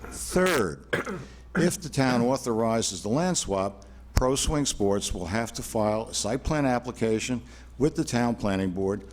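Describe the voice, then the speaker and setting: An older man, an attorney, giving a formal presentation to a town board at a podium microphone, talking loudly and measuredly with frequent fillers. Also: mid-range voice, expressive, a little slow. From New York, USA. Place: town hall meeting room.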